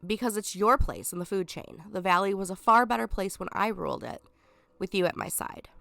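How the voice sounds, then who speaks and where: A woman's voice: mid-range voice, animated, brisk, vocal fry, a bit bright, no echo. A woman reading a scheming, condescending lion villain. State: stuffed up from a cold. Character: scheming, sarcastic male lion villain, self-proclaimed king.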